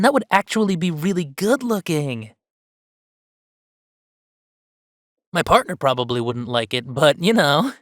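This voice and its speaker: A man playing an upbeat, earnest video game streamer who chats casually and haltingly in a livestream roleplay. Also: high voice, a bit bright, dry recording, clean studio sound, full character voice. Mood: relaxed, musing. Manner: halting, rambling, sighing, earnest.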